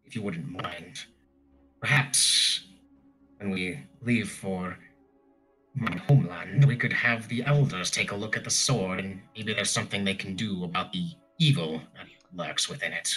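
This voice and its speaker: A man playing a tabletop role-playing game, improvising in a halting, unsure way and thinking aloud. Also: low-pitched, pushed and bright, soft background music, rough recording. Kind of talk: hesitant improvised roleplay talk.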